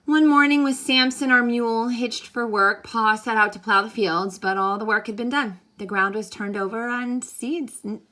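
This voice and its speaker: A woman teacher reading a children's story aloud in an expressive, animated way, as if to young listeners. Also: fairly high pitch. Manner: animated, pausing to ask listeners questions. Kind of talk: expressive storybook read-aloud with think-aloud questions.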